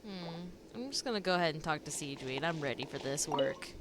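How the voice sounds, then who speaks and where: A woman's voice: deep voice, expressive, fairly quick, music in the background. A young adult woman reacting casually to a game as a streamer, informal and exclamatory.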